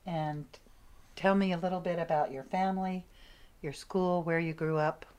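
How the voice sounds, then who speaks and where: Interviewer: woman, notably low voice, directive, short prompting questions. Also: steady tone, normal speed, a little mellow. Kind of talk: oral history interview.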